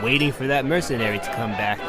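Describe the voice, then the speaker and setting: A man's voice: medium pitch, quick pace, music in the background, a crowd in the background, lightly acted. An actor playing a brash, greedy male camp trader. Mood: dismissive.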